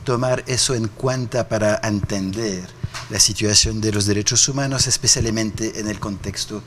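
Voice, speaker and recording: A man answering interview questions in a halting way, repeating words and fillers often. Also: on the low side, steady tone, a bit bright, slight background noise. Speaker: interview guest.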